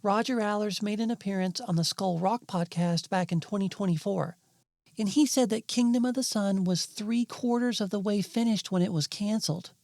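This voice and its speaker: A woman's voice: mid-range voice, normal speed, a little mellow, no echo, clean studio sound. A woman hosting and narrating a scripted video essay. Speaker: narrator and host.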